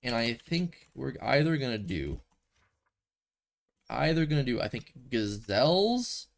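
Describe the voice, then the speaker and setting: A man's voice: medium pitch, sing-song, a little slow, creaky. A young man with a stuffy nose streaming a building game, thinking aloud in a rambling, casual way at a desk microphone. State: a little stuffy from a cold. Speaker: gaming streamer. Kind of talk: casual gameplay commentary.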